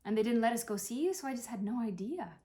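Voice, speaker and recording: A woman's voice: fairly high pitch, animated, fast, warm tone, natural voice. Woman reading as blunt, wounded grown daughter. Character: anxious, wounded grown daughter of dying mother, sardonic. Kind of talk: dramatic play reading.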